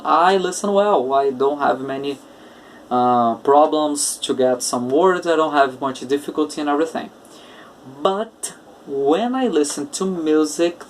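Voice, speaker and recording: A man with a Brazilian Portuguese accent, an English teacher hosting his own casual podcast, talking slowly and searching for words with many filler uhs. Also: medium pitch, distant mic, slight background noise, poor audio. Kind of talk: casual solo podcast talk.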